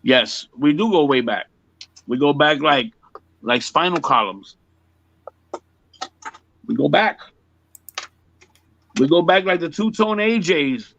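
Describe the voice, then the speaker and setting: A man's voice: a little high, expressive, slow, vocal fry, pauses often, fairly bright tone, slight background noise. A man in his fifties, a music performer chatting casually in an interview, joking and repeating himself emphatically on muffled lo-fi audio. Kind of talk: casual one-on-one chat.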